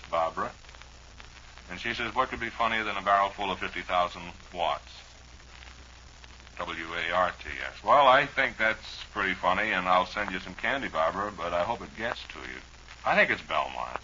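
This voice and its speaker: Male old-time radio host, American, folksy ad-libber with a sharp, punchy tone; muffled archival sound with light hiss. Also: low voice, animated, moderate pace. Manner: folksy, wry, rambling. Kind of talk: rambling ad-libbed old-time radio chat with live commercials. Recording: dull, noisy old radio broadcast recording.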